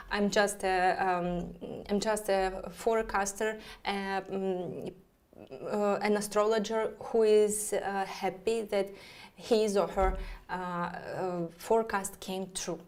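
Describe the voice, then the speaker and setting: A woman astrologer with a Russian accent, speaking haltingly with many fillers as she talks to viewers of her live stream. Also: medium pitch, darkish tone.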